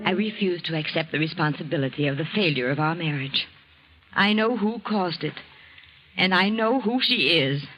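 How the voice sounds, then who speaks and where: An actress playing an anguished, accusing wife in a dramatic, imploring 1940s radio drama style. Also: female voice, lowish voice, animated, normal speed, highs cut off, faint music behind, close to an everyday voice. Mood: bitter resolve. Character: anguished, accusing wife clinging to a failing marriage. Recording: noisy vintage radio drama recording.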